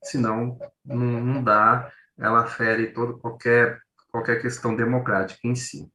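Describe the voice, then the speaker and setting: A man's voice: mid-range voice, little pitch movement, normal speed, pushed and bright, far from the mic. A Brazilian man with a São Paulo accent giving an informal academic presentation, conversational and often repeating his words as he explains. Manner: conversational, repeats words, illustrates with acted-out everyday examples. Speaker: co-presenter, journalist. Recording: roomy echo.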